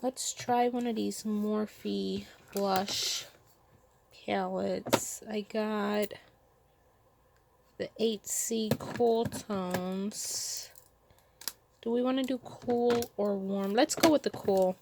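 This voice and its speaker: Stuffy-nosed woman chatting slowly. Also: mid-range voice, steady tone, creaky, noisy background. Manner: chatty, thinking aloud while sorting makeup. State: stuffy nose from a cold. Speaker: beauty vlogger. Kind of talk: casual makeup vlog chat. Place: home room with vanities.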